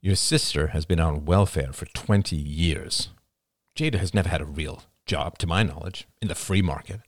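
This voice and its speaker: A man voicing a calm adult son who answers in a low, measured, reluctant way in an audiobook. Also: no room sound, studio quality, heavily acted. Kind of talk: audiobook character voice.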